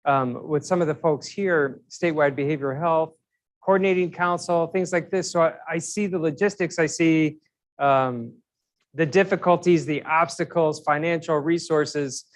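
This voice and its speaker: A man's voice: a little high, normal speed. A male physician speaking informally to a public commission, conversational with frequent fillers and repeated words. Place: meeting room.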